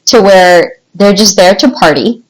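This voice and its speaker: A woman in her twenties with an American accent, telling personal stories in an informal, animated, rambling way as a podcast guest. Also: mid-range voice, moderate pace, low-quality recording. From United States. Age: 20s. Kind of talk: casual podcast chat, personal storytelling.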